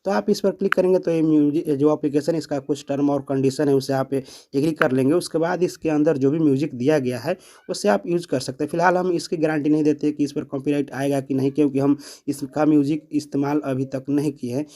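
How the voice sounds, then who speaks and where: A man's voice: on the high side, fairly even intonation, a little fast, few pauses, mellow. A man presenting a step-by-step app tutorial, explaining and repeating each step.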